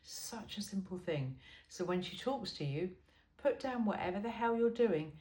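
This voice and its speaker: Female amateur reader, medium pitch, wry conversational delivery with emphasis, recorded in an echoey room. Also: lively intonation, normal speed, a little mellow. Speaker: amateur reader of a humorous self-help book. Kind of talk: informal book read-aloud with chatty asides. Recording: roomy, echoey sound.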